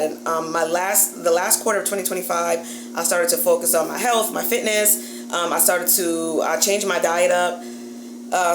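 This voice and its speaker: A woman, giving casual, emphatic, sisterly advice in a colloquial vlog style with drawn-out words. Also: on the low side. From Jamaica-born, grew up in the Bronx, New York. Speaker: YouTube host, dating and lifestyle coach. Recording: echoey room with clear background noise.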